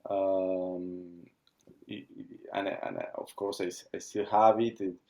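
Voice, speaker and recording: Slow, halting man. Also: low-pitched, level tone, creaky, mellow. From Argentina. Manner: halting, searching for words in English. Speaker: watch brand founder. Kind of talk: casual interview.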